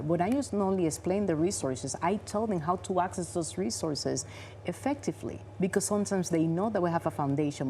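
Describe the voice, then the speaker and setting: A woman's voice: deep voice, mellow, a little hiss or hum. A woman in her forties from the Dominican Republic with a Dominican Spanish accent, Spanish being her first language, answering interview questions in an earnest, explanatory way. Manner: earnest and explanatory, non-native grammar. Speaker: bank vice president, guest.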